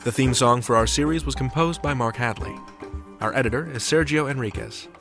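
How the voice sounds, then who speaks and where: A man hosting a drama podcast, reading a smooth, scripted narration and outro. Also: mid-range voice, a little fast, a bit bright. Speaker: podcast host. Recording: music under the voice.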